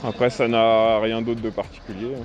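A middle-aged man, talking through a face mask, giving measured, matter-of-fact answers in an outdoor street interview. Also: fairly low pitch, flat delivery, a little mellow, dull audio, faint music behind. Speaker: longtime resident interviewed.

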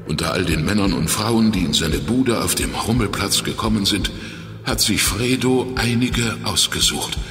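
An actor playing a weakened, injured ex-crook turned private detective in a children's audio drama, confessing in a halting, strained and determined way. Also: male voice, fairly low pitch, close to an everyday voice. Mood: strained, grave. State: weak and exhausted from injury. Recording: echoey reverberant hall, music underneath.

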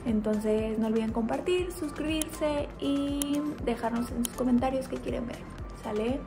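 A woman's voice: fairly high pitch, measured pace, over music. A young woman with a Mexican accent, talking casually to her phone while she walks across campus, upbeat and full of fillers. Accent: Mexican Spanish. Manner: chatty, upbeat, many fillers while walking. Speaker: vlogger. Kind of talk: casual vlog chat. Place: outdoors on a campus while walking, later a home kitchen. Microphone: phone at arm's length.

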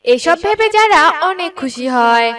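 Female narrator for story narration: high-pitched, scripted delivery, a touch distant. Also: animated, moderate pace, fairly bright tone.

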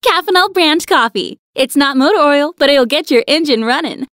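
An actress playing a cheerful housewife, sweet and salesy, in a vintage radio advertisement. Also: female voice, sits high, animated, moderate pace, fairly bright tone, no echo, clean studio sound. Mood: cheerful, persuasive. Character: cheerful housewife pitching coffee in an old commercial.

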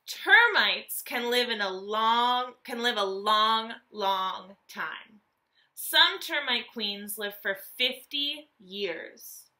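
A woman, reading a nonfiction book aloud to young children as a teacher, animated and carefully enunciated. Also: on the high side, very slow. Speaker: elementary school teacher.

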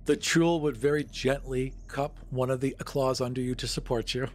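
A man's voice: medium pitch, steady tone, normal speed. A man describing his character's actions as a player in a tabletop game.

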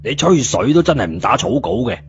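A man voicing a rough, hot-headed, scornful uncle in an audio story, with dull sound. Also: medium pitch, very animated, brisk. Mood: mocking. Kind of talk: scripted audio story dialogue. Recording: dull lo-fi audio, music behind.

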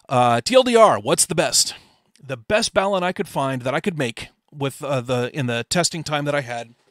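A man's voice: on the high side, fairly bright tone, no echo, clean studio sound. A middle-aged man giving an informal technical slide presentation in a plain-spoken, explanatory way. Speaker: amateur radio presenter.

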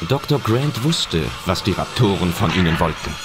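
A man's voice: deep voice, lively intonation, normal speed. A man reading scripted narration for an adventure audio drama. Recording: loud music under the voice. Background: loud film-style music and effects.

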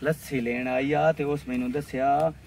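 A man's voice: medium pitch, fairly even intonation, moderate pace, a little mellow, natural voice. A young man with a rural Pakistani Punjabi accent, playing a boastful, hard-up village suitor bantering and making excuses in a comic drama. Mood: gossiping. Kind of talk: improvised comic drama dialogue. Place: outdoors by a brick wall and field. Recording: distant camera sound, faint outdoor noise.

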